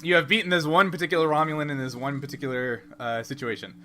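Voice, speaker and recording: Man game-mastering, clearly noisy recording. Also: a little high, fairly even intonation, quick pace, bright, forceful tone. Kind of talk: running a tabletop role-playing game, improvised narration.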